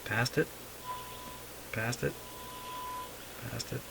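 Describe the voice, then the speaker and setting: A man's voice: mid-range voice, fairly even intonation, drawn-out words, vocal fry. A man giving a casual hands-on demonstration, muttering in short fragments to himself as he works. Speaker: radio repair hobbyist demonstrating. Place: radio repair workshop. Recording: very noisy recording, constant background noise.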